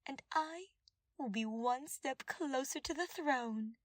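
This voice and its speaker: A woman voicing a smug, mocking female royal advisor who gloats in a drawn-out, scheming way in an audiobook-style story. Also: high-pitched, no echo, studio quality, pitched above the performer's own voice. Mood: gloating.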